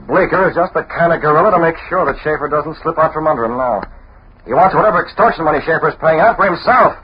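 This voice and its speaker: Male actor as decisive crime-fighter, quick clipped delivery, middle pitch, muffled old radio serial. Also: slight background noise, natural voice. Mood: determined. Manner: brisk, assured, clipped. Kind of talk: 1940s radio drama acting.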